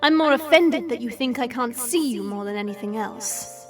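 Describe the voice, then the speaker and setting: A woman's voice: a little high, moderate pace, music in the background, close to an everyday voice. A woman playing a sassy, teasing vampire with a sarcastic, playful drawl in an audio roleplay. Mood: sassy, mocking. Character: hidden female vampire, sassy, teasing, unafraid. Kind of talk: audio roleplay drama acting.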